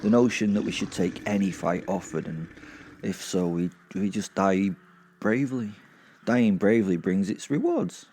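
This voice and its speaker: A man's voice: deep voice, expressive, normal speed, a little mellow, slight background noise. A man playing a wry, sweary Viking werewolf who narrates his hunt in rambling, conversational asides for an audio drama. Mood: wry, chatty. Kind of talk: first-person audio drama storytelling.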